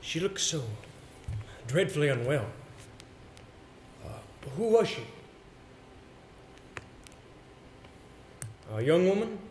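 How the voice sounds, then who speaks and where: A man acting in a stage play as a polite, anxious, theatrical English gentleman with formal, hesitant phrasing. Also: a little high, very animated, measured pace. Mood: concerned, unsettled. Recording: roomy, noisy stage play recording.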